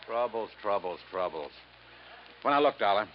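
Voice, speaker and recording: An actor playing a crooked, self-pitying middle-aged American furniture boss with blustering, slangy talk, in a 1950s radio drama. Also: male voice, fairly low pitch, animated, moderate pace, lightly acted. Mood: aggrieved, blustering. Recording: dull, noisy 1950s radio recording.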